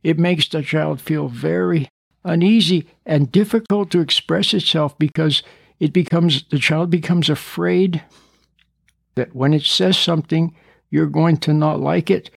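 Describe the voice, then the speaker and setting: An older man in his seventies, speaking a little high for a man, with a gravelly edge. He stutters now and then and talks earnestly to parents, circling back over his points. The sound is close and very dry. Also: unhurried, no room sound. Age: 70s. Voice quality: stutters at times. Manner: earnest, preachy, repeats and rephrases points. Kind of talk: recorded talk addressing parents.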